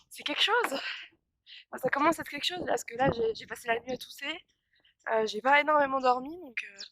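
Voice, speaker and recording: Tired, stuffy-nosed young woman, bright voice. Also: high voice, no echo, rough recording. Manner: chatty, rambling, many fillers and restarts. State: congested from pollen allergy, coughing. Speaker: vlogger. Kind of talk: casual vlog chat to camera. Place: outdoors on a windy walk near a village. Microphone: phone held at arm's length.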